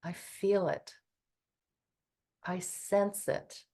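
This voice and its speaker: A woman guiding a meditation, speaking in a calm, gentle and soothing way with long pauses. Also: medium pitch. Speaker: meditation guide. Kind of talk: guided meditation.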